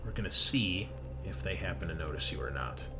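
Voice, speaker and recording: A man narrating a tabletop horror game as game master, improvising in halting, suspenseful phrases. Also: sits low, expressive, heavy background noise.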